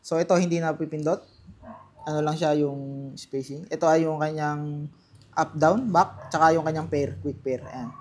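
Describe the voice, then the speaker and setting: A man with a Filipino accent giving casual, unscripted unboxing commentary, halting and thinking aloud as he handles things. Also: fairly high pitch, steady tone, a little mellow, slight background noise. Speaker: vlogger presenting product unboxing.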